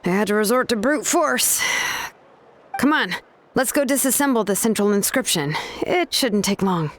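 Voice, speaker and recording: A woman's voice: medium pitch, expressive, normal speed, slight background noise, lightly acted. A woman playing a laid-back but exacting young craftswoman in a video game, explaining things in a casual, matter-of-fact way.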